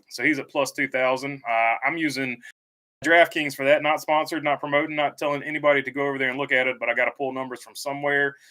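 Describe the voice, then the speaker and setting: Male streamer, bright tone, halting and casual, reads viewer comments aloud. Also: medium pitch, a little hiss or hum. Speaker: livestream host. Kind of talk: casual live stream chat.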